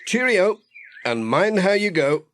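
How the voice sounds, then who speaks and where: A man's voice: high-pitched, sing-song, a little slow, a bit bright, pitched above the performer's own voice, full character voice. A man playing a friendly village postman in a children's audiobook, cheerfully musing aloud to himself and his cat. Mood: cheery. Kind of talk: children's audiobook character acting.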